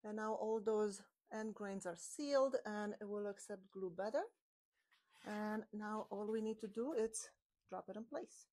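A woman's voice: mid-range voice, a little slow, darkish tone. A woman in her forties hosting a woodworking tutorial, chatting through each step while she works with her hands, recorded on a clip-on mic in a home workshop. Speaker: woodworking channel host. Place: home woodworking shop with open garage door. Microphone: small clip-on mic on her shirt.

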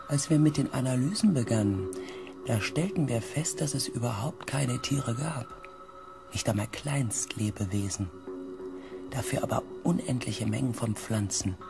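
A man playing a reflective expedition member, narrating his report in a measured, literary way in a science fiction radio play. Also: mid-range voice, gravelly, close to an everyday voice. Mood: somber, reflective. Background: music.

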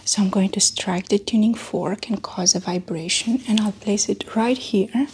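A woman doing a soft-spoken ASMR medical roleplay as a doctor, often whispering, with gentle, reassuring step-by-step instructions. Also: mid-range voice, moderate pace, a little hiss or hum. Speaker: ASMR performer playing a doctor.

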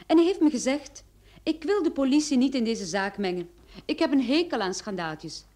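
A woman's voice: high voice, animated, normal speed, a little mellow. An actress playing a cool female private detective in a radio play, questioning with composed insistence. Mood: composed, firm. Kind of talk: Dutch-language radio play acting.

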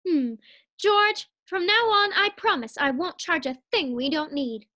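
A woman's voice: very high-pitched, lively intonation, a little slow, pushed and bright, exaggerated voice. A woman acting a scheming, cheerful, scatterbrained housewife in a 1940s-style radio sitcom. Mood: breezy.

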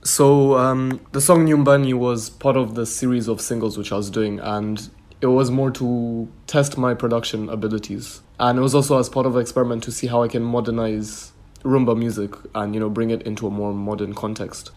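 A male musician from Kenya answering interview questions in a relaxed, thoughtful way, with frequent "you know" fillers. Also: medium pitch, fairly quick. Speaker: musician guest.

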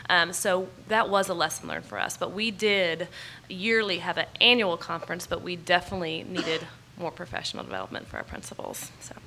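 An American woman with a fairly low, bright, forceful voice explains policy as a conference panelist. She speaks emphatically into a table mic, with some faint noise from the room. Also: lively intonation, fairly quick, rough recording. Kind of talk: unscripted panel answer. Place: large conference ballroom stage. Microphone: gooseneck table microphone.

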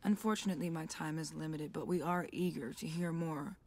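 An actress playing a smooth, calculating female music label boss in a video game cutscene, with a breathy, silky voice. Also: deep voice, a little fast, darkish tone.

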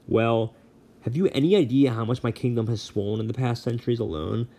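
A man's voice: mid-range voice, brisk, gravelly, dark tone. A man voicing a ranting god of the dead. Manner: bellowing, harried and threatening. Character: furious aggrieved male god of the dead. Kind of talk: audiobook reading.

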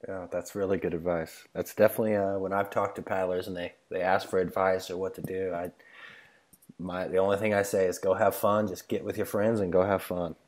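A man's voice: sits low, flat delivery, brisk, darkish tone. A man hosting a casual podcast interview, often laughing and cheering on his guest. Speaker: podcast host.

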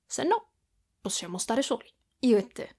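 A woman voicing a gentle, protective spider-woman mother in an intimate ASMR roleplay, soft and breathy, often slipping toward a whisper. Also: fairly high pitch, big pitch swings, moderate pace. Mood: tender, soothing. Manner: soft, cooing, hushed, drifting into whispers.

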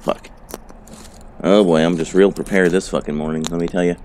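Man, very deep voice, hoarse from a sore throat, laid-back cursing gameplay chat. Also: fairly even intonation, brisk, darkish tone, a little hiss or hum. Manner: profane, laid-back rambling. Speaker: let's play host. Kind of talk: casual gameplay commentary.

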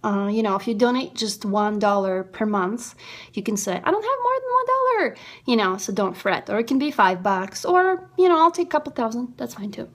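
A woman in her thirties with a Russian accent, talking straight to a close camera at home in a goofy, theatrical vlog that swings from whispers to excited squeals. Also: fairly high pitch, brisk. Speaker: indie writer and vlogger. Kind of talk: playful casual vlog to camera. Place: small room indoors at home. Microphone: phone or webcam close to the face.

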